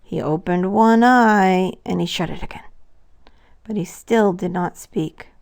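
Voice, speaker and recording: A woman's voice: fairly low pitch, a little slow, vocal fry, darkish tone. A woman narrating a scripted children's story as a storybook reader.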